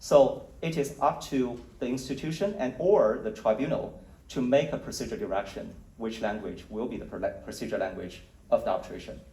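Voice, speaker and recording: A man presenting survey results at a conference, speaking in a measured, explanatory way with occasional fillers. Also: medium pitch, mellow. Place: conference hall. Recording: roomy hall audio, mic at a distance.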